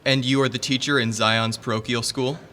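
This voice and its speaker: Guy doing a sharp-toned lawyer grilling a witness. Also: medium pitch, fairly even intonation, brisk, close to an everyday voice. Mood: probing. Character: brisk male courtroom lawyer questioning.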